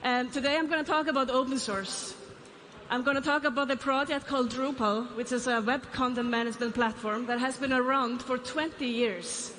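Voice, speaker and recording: High-voiced Icelandic woman on stage. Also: fairly even intonation, moderate pace, fairly bright tone, slight background noise. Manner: asks the audience questions, light asides. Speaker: keynote speaker, CEO. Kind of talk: conference keynote. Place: large conference stage. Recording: reverberant hall, faint ambience.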